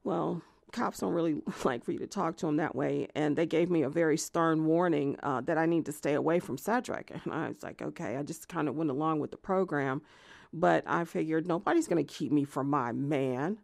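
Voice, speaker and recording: A woman's voice: low-pitched, moderate pace, gravelly, a little mellow, no echo, clean studio sound. A woman playing a jilted, obsessive lover in an audio theatre monologue, confessing in a hesitant, conversational ramble with self-justifying, indignant edges. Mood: defiant. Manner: hesitant, filler-strewn rambling that flares into swearing indignation.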